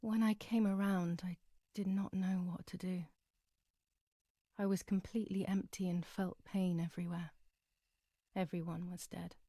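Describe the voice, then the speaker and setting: An actress playing a traumatized young woman, narrating her wartime past in a sombre, measured, confessional way for a dramatic game. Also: on the low side, level tone, dark tone, dry recording, studio quality. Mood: desolate. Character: traumatized young German woman recounting wartime horrors, haunted.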